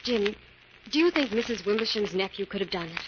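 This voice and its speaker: A woman's voice: medium pitch, expressive, fairly quick, natural voice. A woman playing an earnest, inquisitive detective's assistant in an old radio drama. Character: earnest, eager young female detective's assistant. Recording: dull lo-fi old radio, faint noise.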